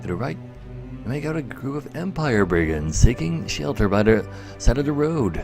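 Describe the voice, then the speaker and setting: A man's voice: mid-range voice, very animated, normal speed, gravelly, music in the background. A man livestreaming a game, mixing muttered commentary with dramatic readings of the game's text. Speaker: live streamer. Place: indoors, livestream with game music behind.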